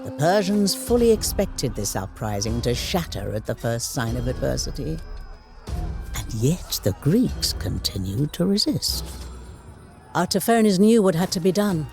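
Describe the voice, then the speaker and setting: Grave, theatrical ancient war chronicler. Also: male voice, fairly high pitch, a little mellow. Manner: grave, theatrical storyteller with rhetorical flourishes. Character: male ancient chronicler recounting war with solemn relish. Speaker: narrator of game cutscenes. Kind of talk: dramatic scripted historical narration. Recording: studio voice-over under prominent music.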